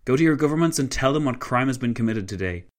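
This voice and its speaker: A man voicing a steady, self-sacrificing French politician, firm and measured, in a dramatised historical story. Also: mid-range voice, pitched above the performer's own voice, natural voice. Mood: resolute.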